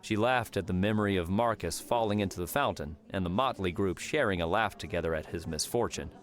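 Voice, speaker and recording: A man narrating a novel as an audiobook, in a measured storytelling manner. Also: low-pitched. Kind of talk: scripted fiction narration.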